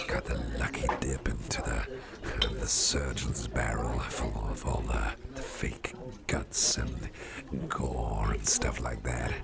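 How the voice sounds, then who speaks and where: A man playing a friendly, rambling boss in an ASMR roleplay, chatting personally to the listener in a deep, gravelly voice. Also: heavily acted. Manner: rambling, chummy, halting, repeats phrases. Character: friendly, rambling male boss at a Halloween party. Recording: music playing behind the voice.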